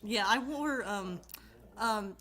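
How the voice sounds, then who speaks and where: A woman's voice: mid-range voice, slow pace, over a noisy crowd. A woman chatting casually with friends over a voice call, rambling, laughing often and swearing freely. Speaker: channel host.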